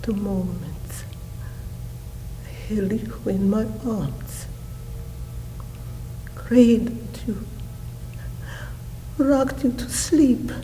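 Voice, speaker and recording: Woman doing a grieving mother in a stage monologue, solemn n emotional, super slow with long breathy pauses, mellow voice. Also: medium pitch, slight background noise, poor audio. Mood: impassioned longing.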